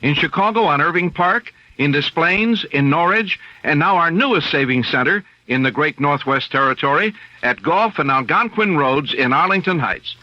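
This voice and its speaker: A male announcer delivers an upbeat scripted radio ad. His voice is very bright and lively, a little high, on a dry, slightly dull recording. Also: moderate pace, dry recording. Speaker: commercial announcer.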